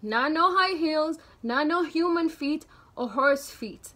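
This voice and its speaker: A young woman from Tanzania telling stories casually to her viewers in an animated, slangy, emphatic way. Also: sits high, slow. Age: young adult. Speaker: storytime vlogger. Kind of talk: casual chatty storytelling.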